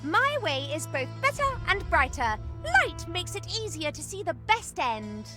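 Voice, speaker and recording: A perky female spirit of light from a video game. Her voice is extremely high and very lively, prim and righteous in tone. Music plays behind her. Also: a little slow, exaggerated voice. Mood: indignant, prim. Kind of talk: scripted video game character acting.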